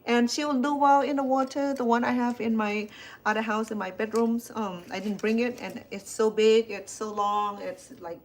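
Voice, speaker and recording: A woman's voice: mid-range voice, rough recording. A woman in her fifties from Cambodia, speaking English with a Cambodian accent, chatting casually at home about her plants and her life, often repeating words as she goes. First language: Khmer. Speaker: chef and home cook. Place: indoors at home. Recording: faint background hiss.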